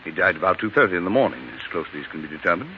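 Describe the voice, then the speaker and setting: A deep-voiced, reassuring doctor. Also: male voice, very animated, racing. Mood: grave. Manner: soothing, assured. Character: calm, reassuring male doctor. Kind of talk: 1940s radio drama acting. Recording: muffled, noisy 1940s radio recording.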